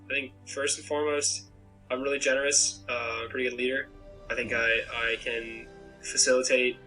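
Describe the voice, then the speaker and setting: Young guy on a webcam call from his bedroom, kinda monotone, rambles and restarts a lot, faint music behind. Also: medium pitch, low-quality recording. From Maine, USA. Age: young adult. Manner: rambling, self-reflective, many restarts. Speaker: game contestant. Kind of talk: pregame interview answers. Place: small bedroom, indoors. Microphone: laptop webcam video call.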